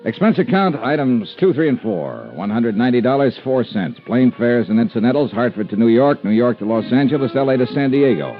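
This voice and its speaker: An actor playing a wry, tough but caring insurance investigator in a 1950s radio detective drama, with a clipped, world-weary manner. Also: male voice, medium pitch, expressive, brisk, few pauses, faint music behind, lightly acted. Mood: dry narrating. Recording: muffled vintage radio recording.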